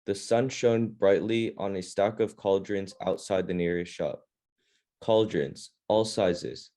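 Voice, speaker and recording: A man's voice: deep voice, takes it slowly, mellow. A man leading a reading club, reading a children's fantasy novel aloud in a plain, informal way.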